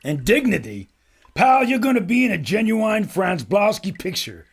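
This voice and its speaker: An actor playing a brash, wisecracking adventurer filmmaker with raspy, slangy tough-guy talk. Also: high voice, sing-song, moderate pace, exaggerated voice.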